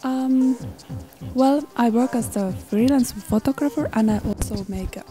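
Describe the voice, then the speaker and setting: A woman artist talking reflectively in short, halting phrases in an informal interview. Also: a little high, over music. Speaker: photographer and artist being interviewed.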